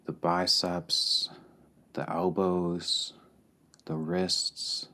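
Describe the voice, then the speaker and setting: Slow, low-pitched man guiding meditation. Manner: calm, deliberate, pausing between short phrases. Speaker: meditation guide. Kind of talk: guided meditation, slow and spacious.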